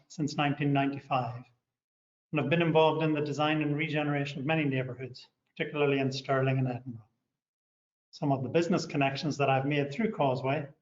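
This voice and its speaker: A middle-aged man with a Northern Irish accent, a company director giving a measured, scripted overview of his organisation. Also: medium pitch. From Northern Ireland. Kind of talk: scripted promotional talk. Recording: echoey, muffled room sound.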